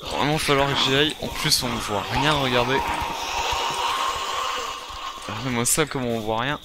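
A man's voice: medium pitch, moderate pace. A man improvising in-character survival gameplay commentary, thinking aloud in a hesitant, repetitive way. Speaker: gaming video creator playing a survival roleplay. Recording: clearly noisy gameplay recording.

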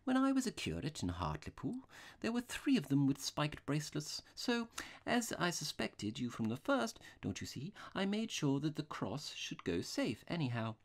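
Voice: mid-range voice, wildly swooping pitch, moderate pace, voice pushed up. A reader voicing a mild, vague little rustic priest in a storybook, unworldly, hesitant and apologetic.

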